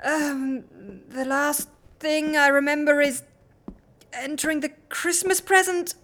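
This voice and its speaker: A woman groggy and sick to her stomach in a comic audio drama. Her high voice drags very slowly, with groans and halting phrases. She sounds mortified and miserable. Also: fairly even intonation, a bit bright. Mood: queasy, confused. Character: groggy, queasy, embarrassed woman recovering from sickness.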